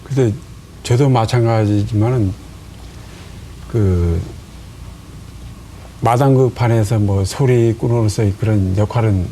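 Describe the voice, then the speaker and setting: A man, a folk singer guest on a studio talk show, speaking haltingly with many pauses and fillers. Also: lowish voice, dark tone, a little hiss or hum.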